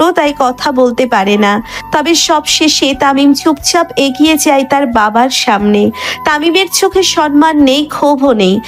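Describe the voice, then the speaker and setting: A woman narrator reading a scripted dramatic story. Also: high-pitched. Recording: background music under the voice.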